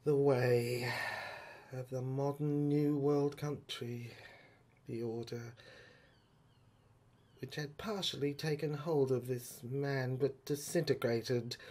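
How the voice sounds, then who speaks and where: A man narrating a serial audio drama in a poetic, brooding style, with halting phrases and pauses. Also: mid-range voice.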